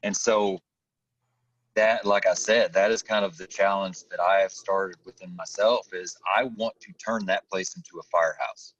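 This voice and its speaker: A man hosting a podcast in casual conversation, halting and repeating words for emphasis, recorded on a distant, dull microphone. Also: sits low, steady tone, fairly bright tone. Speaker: podcast host, firefighter.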